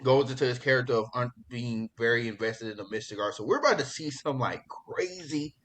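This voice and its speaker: A man hosting a podcast in casual pop culture chat, talking in an excitable, slangy, profane way. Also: mid-range voice, brisk. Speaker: podcast host.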